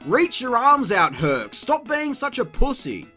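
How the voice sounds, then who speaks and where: A man's voice: extremely high pitch, wildly swooping pitch, measured pace, bright, forceful tone, soft background music. A man giving comedic, partly scripted video review commentary in an exclamatory, sarcastic, crude way. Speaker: video game reviewer, host.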